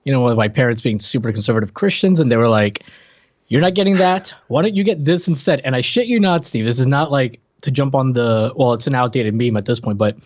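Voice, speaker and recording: A man's voice: mid-range voice, animated, fast, no room sound. A man chatting casually as a podcast host, with a joking, teasing manner. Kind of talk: casual chat.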